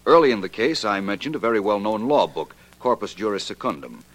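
A very low male voice carries this shrewd detective. He speaks with crisp assurance, setting out his logic point by point in the manner of a 1940s radio mystery. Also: very animated, a little fast. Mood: self-assured, explanatory. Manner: crisp, confident, methodical explaining.

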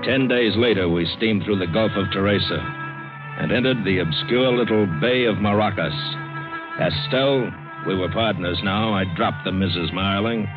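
An actor playing a hard-boiled American adventurer, narrating tersely and vividly in an old radio drama. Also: male voice, lowish voice, lightly acted. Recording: dull old radio broadcast, loud music.

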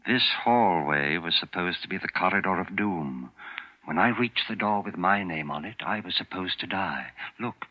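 A man's voice: low-pitched, normal speed. An actor playing a tense, shaken man in a 1940s radio drama, speaking urgently. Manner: urgent, earnest. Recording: muffled 1940s radio broadcast.

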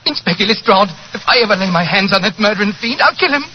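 A man's voice: high-pitched, expressive, quick pace. An actor playing a tearful, vengeful bereaved father in a 1940s radio drama. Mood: anguished. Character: grieving, vengeful father of a murdered girl. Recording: muffled, noisy 1940s radio recording.

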